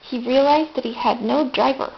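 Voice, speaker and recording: A woman's voice: high-pitched, normal speed, vocal fry. A woman reading a storybook aloud expressively, helping a child along. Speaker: adult helping a child read. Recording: muffled home recording, faint noise.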